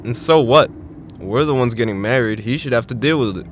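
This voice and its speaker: A man's voice: mid-range voice, brisk, slight background noise, natural voice. A man acting in an audio drama as a reluctant, indignant young fiancé in his twenties. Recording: faint car ambience.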